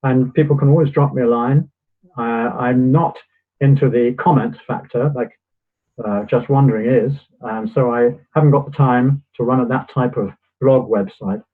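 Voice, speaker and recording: A man who is a guest author, explaining things in a measured way and getting hoarse. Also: medium pitch, fairly even intonation. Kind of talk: casual interview chat. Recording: tinny phone line.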